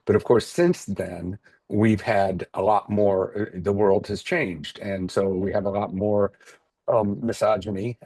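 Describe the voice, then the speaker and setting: A man speaking as a theater interview guest, thoughtful and halting as he searches for his words. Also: low voice, a little mellow, no echo. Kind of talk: casual interview talk.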